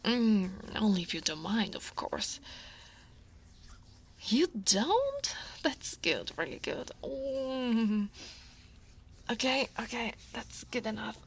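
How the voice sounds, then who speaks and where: A woman with an Italian accent playing a guarded, workaholic dragon woman who is a senior coworker, in a soft, often whispered ASMR roleplay, wry and self-deprecating with sighs and soft laughs. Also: on the high side, sing-song, slow pace, pauses often, a little hiss or hum, heavily acted. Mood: relaxed. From Italy.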